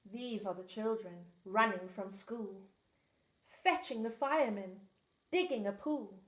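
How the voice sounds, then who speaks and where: A woman's voice: mid-range voice, unhurried. A woman teaching assistant reading a rhyming picture book aloud with a lilting rhythm. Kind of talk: storybook read-aloud. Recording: echoey room.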